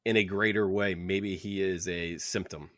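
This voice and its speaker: A man's voice: low-pitched, fairly even intonation, moderate pace, a bit bright, dry recording, studio quality. A man co-hosting a podcast, chatting casually and offering opinions with joking asides. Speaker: podcast co-host. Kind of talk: casual book club discussion.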